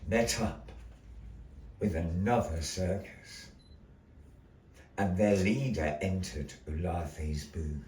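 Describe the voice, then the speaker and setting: A man narrating a story theatrically as a live storyteller, declamatory and full of weighty pauses. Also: lowish voice, a little mellow. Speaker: storyteller, puppet show narrator. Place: indoor gallery performance space. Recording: echoey gallery room, distant mic.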